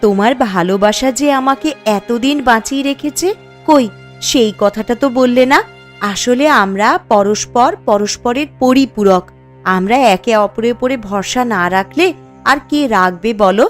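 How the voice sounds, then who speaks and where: A woman's voice: fairly high pitch, moderate pace, faint music behind, close to an everyday voice. A woman voicing a steadfast, supportive young wife in a cartoon story, with an earnest, reassuring manner. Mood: devoted. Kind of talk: Bengali cartoon story dialogue.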